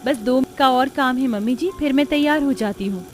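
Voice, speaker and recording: Modest young daughter-in-law, voiced by a woman, higher voice. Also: normal speed, a little hiss or hum, natural voice. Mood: polite. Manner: polite, unassuming.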